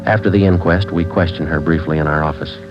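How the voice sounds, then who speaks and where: An actor as a very deep, deadpan police sergeant. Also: male voice, quick pace, soft background music, lightly acted. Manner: flat, clipped, deadpan delivery. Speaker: narrator and detective. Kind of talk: 1940s radio drama acting. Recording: dull lo-fi 1940s radio broadcast.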